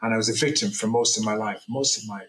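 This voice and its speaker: A man's voice: on the low side, fast, a bit bright, roomy sound. A middle-aged man from Ireland, telling his own story as a guest on a video call, rambling and correcting himself earnestly. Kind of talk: personal testimony in an interview.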